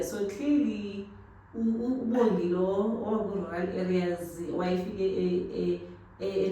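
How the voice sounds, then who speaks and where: A South African woman with a Xhosa accent asks questions in a warm, mellow voice and keeps the talk going with soft agreeing murmurs. She sits far from the mic in a strongly echoing room with a faint hiss. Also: medium pitch. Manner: encouraging, constant affirming murmurs. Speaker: interviewer. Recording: echoey room, mic at a distance.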